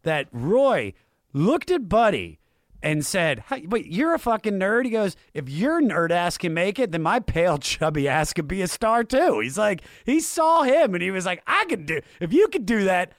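A man's voice: high-pitched, very animated, normal speed, no room sound, clean studio sound. An American man hosting a casual music talk show, enthusiastic and emphatic. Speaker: podcast host.

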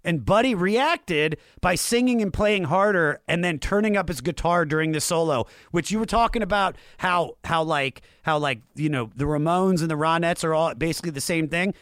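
A man's voice: fairly high pitch, normal speed, few pauses, fairly bright tone, no echo. A man hosting a casual music podcast, talking informally and with enthusiasm. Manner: informal, enthusiastic, uses slang and swearing. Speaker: podcast host.

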